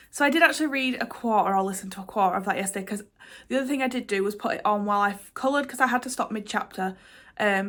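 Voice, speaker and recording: A woman's voice: mid-range voice, a bit bright. A young woman talks casually to her camera, stuffed up with a cold. She rambles and restarts, dropping frequent ums as she catches up on her reading and her busy week. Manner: rambling, chatty, self-deprecating, with many "um"s and restarts. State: congested with a cold. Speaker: book vlogger. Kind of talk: casual chatty vlog to camera.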